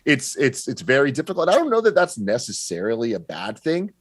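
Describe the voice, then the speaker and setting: A man's voice: mid-range voice, huge pitch swings, a little fast, dry recording, clean studio sound. A man giving a casual, conversational movie review as a podcast guest, explaining his points with examples.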